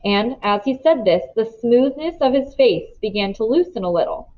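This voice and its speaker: Expressive woman reading a story, lively pitch. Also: moderate pace, phone-quality sound. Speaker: read-aloud narrator.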